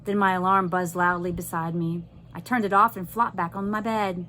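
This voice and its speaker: Expressive woman narrator, noisy recording. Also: fairly low pitch, normal speed. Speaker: teacher reading aloud. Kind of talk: expressive children's novel narration.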